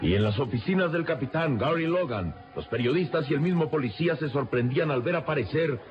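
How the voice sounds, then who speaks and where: A man's voice: fairly high pitch, lively intonation, brisk. A man narrating a radio serial from a script in a dramatic style. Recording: dull lo-fi radio serial recording. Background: loud music.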